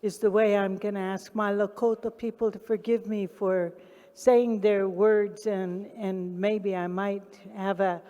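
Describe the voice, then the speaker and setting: Oklahoma-accented woman talking slow and reverent on stage, big hall with long echo. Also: mid-range voice, little pitch movement, mellow. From Oklahoma, USA. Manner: solemn, reverent. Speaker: guest speaker. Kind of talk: speech at a live event, paused for interpretation. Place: large event hall on stage.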